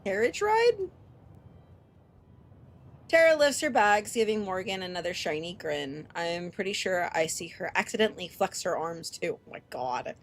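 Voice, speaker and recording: A woman streamer reading a visual novel aloud and commenting casually, animated, expressive and free with swearing. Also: lowish voice, normal speed. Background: game music.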